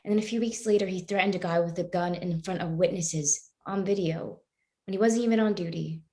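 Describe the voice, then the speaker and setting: A woman's voice: lowish voice, a little fast, warm tone, lightly acted. A woman playing a morally troubled woman who questions a cop earnestly and persistently in a live play reading. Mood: probing.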